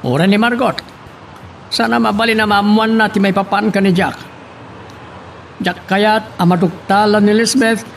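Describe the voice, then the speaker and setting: A man's voice: high voice, fairly even intonation, moderate pace, creaky, long pauses, soft background music. A man with a Filipino accent playing a secretive, commanding boss in a radio drama. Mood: scheming, commanding. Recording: vehicle and street noise behind.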